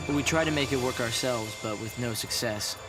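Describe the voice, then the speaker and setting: An actor playing an earnest young male research leader in a video game, speaking in a measured, polite, explanatory way. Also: medium pitch, lightly acted. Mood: calm, earnest. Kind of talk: video game English dub acting. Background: loud music.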